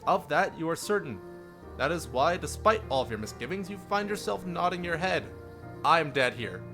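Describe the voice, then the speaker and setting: Theatrical, higher-voiced man streaming with music. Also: expressive, normal speed. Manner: theatrical, playful. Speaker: streamer reading a visual novel aloud. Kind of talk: dramatic read-aloud narration with joking asides.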